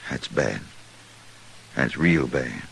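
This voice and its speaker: An actor playing a plain-spoken, restless American frontier drifter in a western radio drama, with folksy cowboy talk. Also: male voice, very deep voice, lively intonation, drawn-out words, vocal fry, a bit bright, exaggerated voice. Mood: sheepish, sincere. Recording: hissy old-time radio recording.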